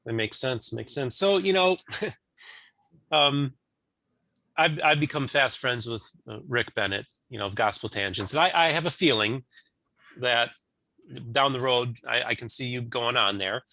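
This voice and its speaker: Male interview host, bright and forceful with gravelly fry; earnest, informal, conversational, close dry sound. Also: medium pitch, a little slow, no room sound.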